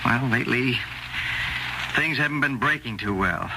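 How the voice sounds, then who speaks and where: A man's voice: mid-range voice, moderate pace, lightly acted. A man with an American accent acting in an old-time radio drama as a needy, anxious middle-aged talent agent who stammers and repeats himself. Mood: pleading, nervous. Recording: noisy vintage radio drama recording.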